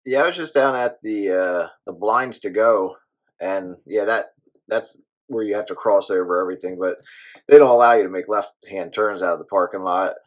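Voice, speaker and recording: An American man chatting casually in a low-key, hesitant way as he explains things. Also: normal speed. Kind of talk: casual CB radio chat.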